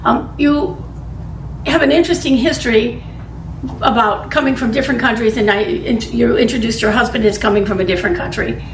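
Higher-voiced woman interviewer, echoey room. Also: a little fast, distant mic, a little hiss or hum. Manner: conversational, thinking aloud. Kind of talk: panel interview. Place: meeting room in front of a large screen. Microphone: far camera mic.

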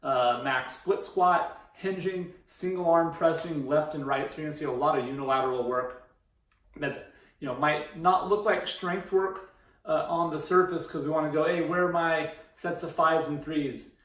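A man's voice: on the high side, moderate pace, fairly bright tone. Echoey, distant-sounding man coaching. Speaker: fitness coach presenting a training programme. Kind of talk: informal instructional presentation. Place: indoor room beside a presentation screen. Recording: roomy echo, distant microphone.

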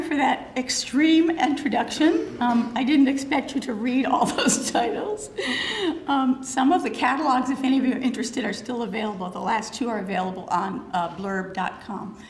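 Hoarse, gravelly woman in her 60s, curator keynote, roomy hall sound, faint room noise. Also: on the high side. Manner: measured, slightly halting delivery with fillers. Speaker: curator giving a keynote lecture. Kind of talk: prepared lecture. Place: lecture hall with wood paneling. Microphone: podium microphone.

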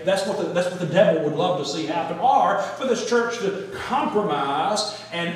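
Male pastor, middle-aged, fairly high voice, folksy sermon delivery, very reverberant distant church recording. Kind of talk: sermon with scripture reading. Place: church sanctuary, speaking from pulpit and floor. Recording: echoey church hall, distant mic, faint noise.

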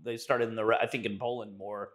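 A man's voice: on the low side, flat delivery, fast, fairly bright tone. A middle-aged man hosting a studio chat, adding short agreeing remarks on a lapel mic. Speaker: show host. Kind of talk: casual chat. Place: indoor studio with brick wall.

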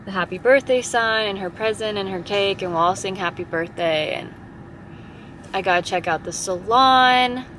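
A young woman in her twenties talking to her camera at arm's length while sitting in a car, chatty and relaxed about her busy day. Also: medium pitch, normal speed, a little hiss or hum. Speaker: vlogger, salon owner. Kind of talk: casual vlog chat. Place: inside a car. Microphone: camera held at arm's length.

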